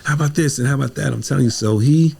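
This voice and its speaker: A man's voice: medium pitch, very fast, creaky, dark tone, husky. An American man talking motivationally as a podcast guest, in an emphatic, preacherly way, often repeating phrases. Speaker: podcast guest, playwright and director. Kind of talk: motivational conversational talk.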